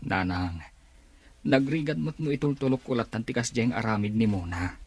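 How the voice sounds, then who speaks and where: A man's voice: mid-range voice, normal speed, darkish tone, breathy edge. A middle-aged man playing a troubled married son in a radio drama, speaking earnestly and pleadingly. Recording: radio drama with music underneath.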